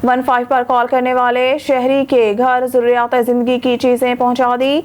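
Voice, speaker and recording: A woman's voice: fairly high pitch, monotone, moderate pace. A woman reading a scripted news bulletin, formal, going steadily through long lists of names. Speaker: news reader.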